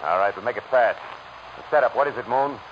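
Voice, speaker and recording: A man's voice: medium pitch, fast talker, close to an everyday voice. A man playing a tough, wisecracking private detective in 1940s radio noir, with clipped, wry, slangy delivery. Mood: tough, pressing. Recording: muffled, very noisy vintage radio recording.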